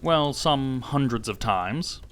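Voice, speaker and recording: Detective's gentleman companion, played by a male narrator: mid-pitched, curious, earnest, formal, a little baffled, audiobook dialogue. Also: expressive, slow. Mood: puzzled.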